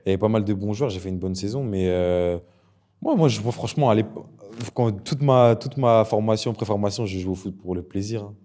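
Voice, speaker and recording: A young man from Ivory Coast, a professional footballer, chatting casually as a guest, relaxed and slangy, repeating himself often. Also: lowish voice, a little fast. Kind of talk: casual interview chat.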